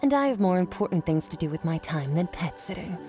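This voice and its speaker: A woman voicing an aloof, blunt master swordswoman in a video game, speaking in a deadpan, matter-of-fact way. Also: on the low side, a little fast, soft background music. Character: aloof, blunt female master swordswoman, coolly self-assured. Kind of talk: scripted video game dialogue.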